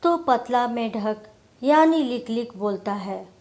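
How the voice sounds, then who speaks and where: Very slow female storyteller. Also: fairly high pitch, lively intonation, a little mellow. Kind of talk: scripted children's moral story narration.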